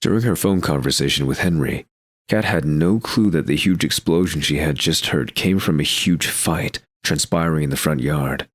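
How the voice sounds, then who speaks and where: A man's voice: very deep voice, moderate pace, no room sound, clean studio sound. A man narrating a scripted audio drama as storyteller.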